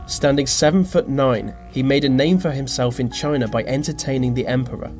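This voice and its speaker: A man's voice: mid-range voice, a little fast. Dryly wry male narrator over music. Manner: dry, wry deadpan asides. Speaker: narrator of a list video. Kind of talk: scripted narration. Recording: music behind the voice. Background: background music.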